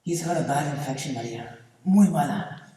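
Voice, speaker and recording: A man on stage playing a stubborn, kindly old man, earnest and deliberate, mixing in Spanish words. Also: fairly high pitch, mellow, close to an everyday voice. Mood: grave. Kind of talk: stage play acting. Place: theatre stage, far camera. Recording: echoey theatre hall from far off.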